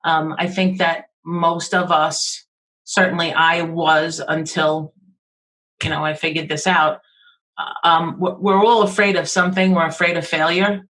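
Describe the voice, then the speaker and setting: A woman's voice: lowish voice, level tone, a little slow, a bit bright, low-quality recording. New York-accented woman, middle-aged. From Queens, New York. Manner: friendly, storytelling with acted quotes. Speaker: interview guest. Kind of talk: casual interview chat.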